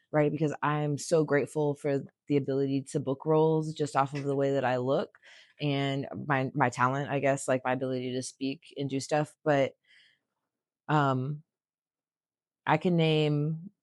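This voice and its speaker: A young woman with a raspy voice, chatting bluntly and jokingly, swearing freely, as a podcast co-host. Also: a bass voice, level tone, measured pace, a little mellow, dry recording, clean studio sound. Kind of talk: casual chat.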